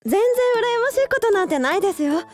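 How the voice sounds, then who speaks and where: A woman's voice: very high-pitched, lively intonation, brisk. An actress in a radio drama playing an earnest young woman who hides her love for a childhood friend. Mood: awkward, placating. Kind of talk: Japanese radio drama acting.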